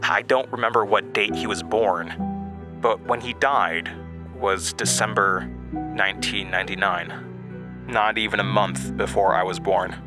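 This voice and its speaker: Man acting a wistful young narrator, lowish voice, hesitant, reflective, audio drama monologue, music behind. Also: fairly even intonation, measured pace, natural voice. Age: young adult. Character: haunted young man recalling his childhood, wistful. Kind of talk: dramatic first-person monologue, reminiscing. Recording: music bed under voice.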